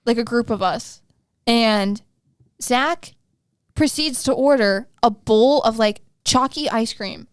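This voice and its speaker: Female co-host, giggly and teasing, a touch high, dry studio sound. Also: clear, resonant tone, no echo. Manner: playful, quick to laugh, teasing. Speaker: podcast co-host. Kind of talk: casual chat.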